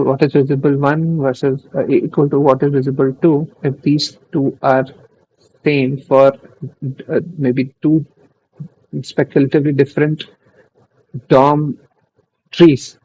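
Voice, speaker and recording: Young man, not a native English speaker, gravelly, slightly raspy, dry close mic, thinking aloud, wanders off-topic. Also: mid-range voice, a little mellow, no room sound, highs cut off. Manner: rambling, self-interrupting, distracted asides. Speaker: streamer reading a tech article. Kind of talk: thinking aloud while reading.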